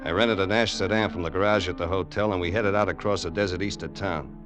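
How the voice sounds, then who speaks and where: An actor playing a loyal, eager crime sidekick in an old radio suspense drama, plain-spoken and deferential. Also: male voice, low-pitched, steady tone, fast, lightly acted. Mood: tense narrating. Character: loyal, eager male crime sidekick telling his story. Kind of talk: 1950s radio acting, first-person narration and dialogue. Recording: vintage radio drama with music bed.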